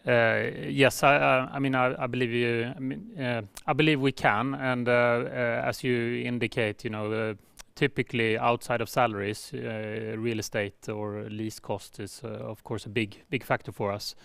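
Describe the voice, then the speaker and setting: A man speaking as a company executive on an earnings call, halting often with fillers and repeated words. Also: mid-range voice, level tone, creaky, a bit bright, clear, resonant tone, dry recording.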